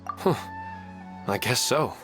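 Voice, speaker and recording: A man's voice: mid-range voice, expressive, takes it slowly, over music. A man playing a polite, devoted father in a video game, speaking in an earnest, sincere and wistful way. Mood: fond, wistful. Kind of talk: scripted video game dialogue.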